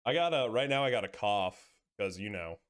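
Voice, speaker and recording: A man's voice: fairly low pitch, animated, a little fast, a bit bright. A young man, a guest in a casual chat, laid-back and joking, swearing casually. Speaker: podcast guest.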